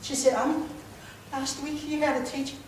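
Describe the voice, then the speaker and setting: A man telling a funny personal story in a humorous speech contest, pausing for laughs. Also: very high voice, flat delivery. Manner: telling a comic story to an audience with pauses for laughs. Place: large hall, public speaking contest. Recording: echoey hall from far off, noisy. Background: audience laughter.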